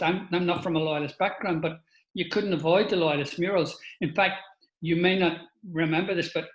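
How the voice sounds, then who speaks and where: A man's voice: high-pitched, fairly bright tone, echoey room, poor audio. Older Northern Irish man, chatty lecturer. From Northern Ireland. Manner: chatty, with frequent restarts and asides to the audience. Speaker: speaker giving a talk. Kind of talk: informal lecture with slideshow.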